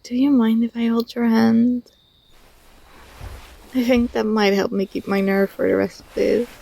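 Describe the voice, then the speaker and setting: A woman acts out a young female student, fond and gently teasing, in an intimate ASMR roleplay. Her voice is soft and fairly high, with plenty of fry at the edges. The sound is dry and close, and her delivery is tender and a little hesitant. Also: flat delivery, a little slow, no room sound, rough recording. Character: affectionate, teasing young female university student confessing feelings.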